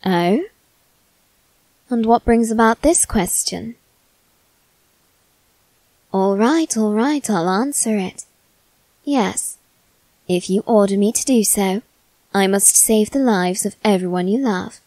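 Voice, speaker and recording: A woman's voice: medium pitch, takes it slowly, pauses often, a little mellow, no room sound. A woman playing a teasing, haughty young male demon servant in a theatrical, mock-polite ASMR roleplay. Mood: curious, measured. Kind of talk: ASMR character roleplay addressed to the listener.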